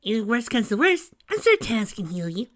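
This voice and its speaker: A man's voice: high-pitched, huge pitch swings, normal speed, fairly bright tone, voice pushed up, exaggerated voice. A man voicing an eager, teasing young boy fox sidekick in an amateur story reading. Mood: playful, excitable.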